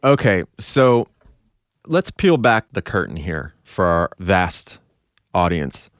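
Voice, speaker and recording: A man's voice: deep voice, big pitch swings, slow pace, clean tone, no room sound, studio quality. A man hosting a podcast in a casual, informal, conversational style. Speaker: podcast co-host.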